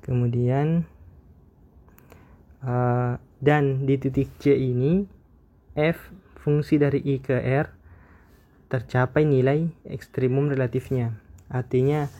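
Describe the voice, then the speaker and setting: A man lectures on calculus, his voice warm and mellow, sitting at an ordinary middle pitch. He explains carefully and methodically, often pausing with small hesitation sounds before going on. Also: dull audio. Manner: measured, explanatory, frequent hesitation fillers. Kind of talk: recorded math lecture.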